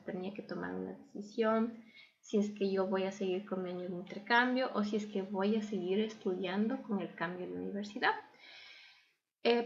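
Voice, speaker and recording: A young adult woman with an Ecuadorian accent speaks conversationally as a guest sharing her story. Her voice sits at a medium pitch with heavy creak, and the recording is a little dull and lo-fi. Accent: Ecuadorian Spanish. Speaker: interview guest. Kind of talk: conversational personal storytelling and advice.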